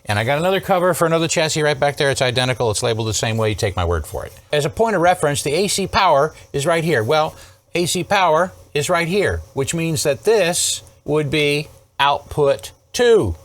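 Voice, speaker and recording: Guy doing a casual repair walkthrough, really animated pitch, keeps checking you're following. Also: a little fast. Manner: conversational, emphatic, rhetorical questions and repeated check-ins. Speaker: host of an electronics repair series. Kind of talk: casual hands-on troubleshooting demonstration.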